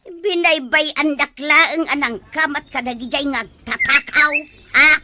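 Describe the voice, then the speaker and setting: A woman playing an excitable, easily alarmed villager in an Ilocano comedy drama. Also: high-pitched, moderate pace, very noisy, natural voice. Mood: worried.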